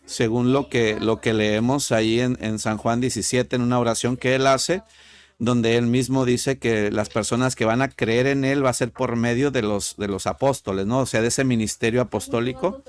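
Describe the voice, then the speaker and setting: A man with a Mexican Spanish accent calls in to debate. He talks hesitantly, filling pauses with "eh" before quick bursts. His pitch sits in an ordinary middle range. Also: steady tone, clean tone. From Tijuana, Mexico. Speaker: caller. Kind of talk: call-in debate.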